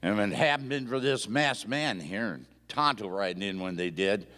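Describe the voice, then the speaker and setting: An actor playing a folksy Old West sheriff with an in a live radio play, stumbling over repeated words. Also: male voice, fairly low pitch, moderate pace, bright, forceful tone. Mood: grave. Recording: echoey theatre hall.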